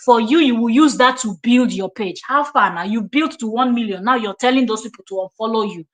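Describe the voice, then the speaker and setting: A Nigerian-accented woman hosts a reaction commentary in a fairly high voice. She is confrontational and emphatic, and she restates her points to hammer them in. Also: brisk. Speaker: commentary host.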